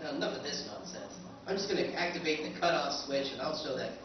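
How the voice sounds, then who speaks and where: A man's voice: a little high, quick pace, music in the background, close to an everyday voice. A man playing an emphatic, exasperated space adventurer in a live recreation of a 1950s radio science-fiction play. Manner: emphatic, indignant. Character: exasperated, blustering male space adventurer and narrator. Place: convention performance room, picked up from afar. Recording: echoey convention hall, distant muffled sound.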